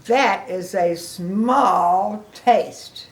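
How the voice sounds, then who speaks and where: A woman voicing an excitable, pushy young pig friend in a children's picture book, with drawn-out, emphatic exclamations. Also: mid-range voice. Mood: urging. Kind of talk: animated children's picture-book read-aloud.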